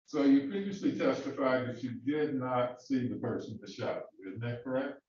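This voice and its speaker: A man cross-examining a witness in a courtroom, questioning in a halting way with many fillers and restarts. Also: mid-range voice, darkish tone. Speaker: defense attorney questioning a witness. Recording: echoey, distant, dull courtroom audio.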